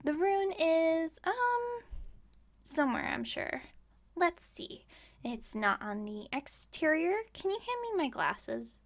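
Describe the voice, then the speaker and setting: A woman's voice: very high voice, very animated, takes it slowly, heavily acted. A woman playing a scatterbrained, overconfident witch shopkeeper in an ASMR roleplay, chatting breezily and musing aloud with great self-assurance. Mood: curious, chirpy. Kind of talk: ASMR roleplay audio drama.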